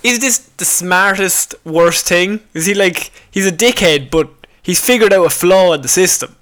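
Guy on a comedy podcast, pretty high bright voice, jokey and sweary, giggly news reading. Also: moderate pace. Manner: jokey, swearing, prone to laughing. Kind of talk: casual comedic banter, reading odd news.

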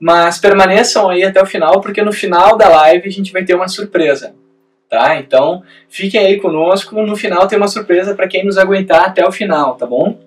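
A man's voice: high voice, fairly quick, distant mic, faint music behind. A man who teaches at a university, a guest on a live webinar, talking a little nervously. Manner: slightly nervous, apologetic.

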